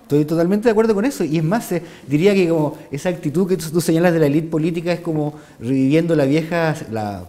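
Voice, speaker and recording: A man speaks as a talk-show guest, starting his thoughts haltingly and tacking questions onto his points. His voice is slightly high for a man and has a soft, dark tone. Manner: hesitant starts, frequent tag questions. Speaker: guest, author and journalist. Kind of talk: interview conversation.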